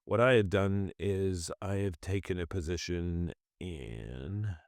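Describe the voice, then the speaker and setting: Very deep, slow, congested man. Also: dry recording, clean studio sound. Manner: rambling, self-correcting, thinks aloud. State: congested from a cold. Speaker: stock trading channel host. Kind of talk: casual screen-share commentary on trades.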